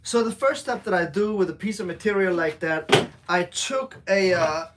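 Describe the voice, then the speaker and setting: A man from Switzerland, co-owner of a bonsai shop, explaining a tree during a live demo in a relaxed way, with fillers and restarts. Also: sits high, normal speed, very noisy.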